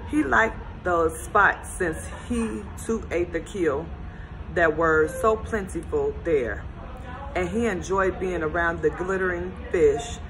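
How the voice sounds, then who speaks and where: A woman reading a children's storybook aloud in a gentle, expressive storytelling manner. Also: on the low side, slow pace, background noise.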